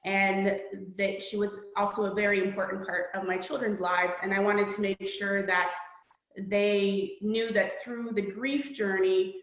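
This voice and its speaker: A woman's voice: mid-range voice, level tone, unhurried. A middle-aged woman author, born in Poland, reading her children's book aloud and then answering interview questions in an earnest, reflective way. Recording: echoey room.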